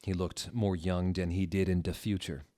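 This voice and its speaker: Low-voiced man reading a story, mock-serious. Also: little pitch movement, normal speed, mellow. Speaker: narrator. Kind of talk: comic dramatic reading of fan fiction.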